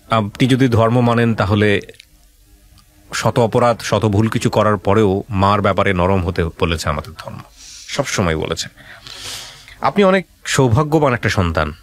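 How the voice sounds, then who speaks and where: Earnest man, low-pitched host. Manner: earnest, gently advising. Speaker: radio show host. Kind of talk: interviewing a guest and reflecting on his story.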